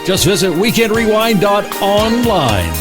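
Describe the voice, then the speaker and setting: A man's voice: sits high, big pitch swings, a little slow. A man hosting a music radio show in DJ patter, chatty and given to joking asides. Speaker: radio host. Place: home studio. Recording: music bed under the voice.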